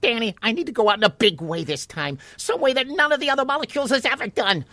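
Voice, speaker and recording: A man's voice: extremely high pitch, sing-song, fast talker, bright, forceful tone, dry recording, heavily acted. A man playing a restless, self-important little water molecule in a children's radio play, complaining and urging. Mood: fed up. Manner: eager, complaining, urgent.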